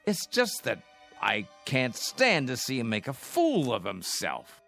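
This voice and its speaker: An actor playing a worried, stubbornly practical old man in a radio drama, with a creaky voice and a fretful, scoffing manner. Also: mid-range voice, wildly swooping pitch, measured pace, bright, forceful tone, faint music behind, exaggerated voice. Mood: worried, indignant. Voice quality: creaky, gravelly.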